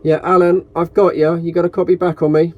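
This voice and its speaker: A man's voice: on the high side, flat delivery, a little fast, dull audio, a little hiss or hum. A man hosting a hobby radio video with casual, chatty, informal commentary.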